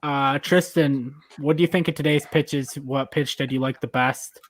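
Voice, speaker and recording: A man's voice: medium pitch, fairly even intonation, moderate pace, no echo. A man casually hosting and judging a podcast game. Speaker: podcast host and judge.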